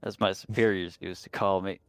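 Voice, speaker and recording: A man's voice: sits low, level tone, normal speed, smooth, dry recording. A man chatting casually and roleplaying as a player in a tabletop game. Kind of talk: casual chat and in-character roleplay.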